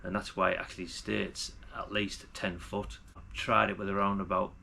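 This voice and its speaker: A man's voice: sits low, flat delivery, moderate pace, gravelly, bright, forceful tone, a little hiss or hum. A man giving casual, unscripted hobbyist commentary in a chatty, rambling way, repeating himself as he goes. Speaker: radio hobbyist vlogger.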